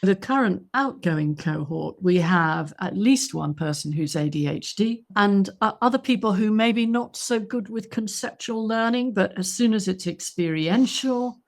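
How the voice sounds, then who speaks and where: A woman co-hosting an interview as a vocal teacher trainer, affirming and warm, often answering with "mm". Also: mid-range voice, lively intonation, normal speed, hardly any pauses. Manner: warm, affirming, many "mm" replies. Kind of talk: casual interview chat.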